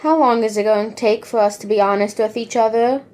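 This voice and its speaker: A woman acts a young noblewoman expecting a baby in an audio drama spoof. Her voice is fairly high, and she speaks as a loving, anxious partner. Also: fairly even intonation, a little fast, a little mellow, lightly acted. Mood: worried, tender.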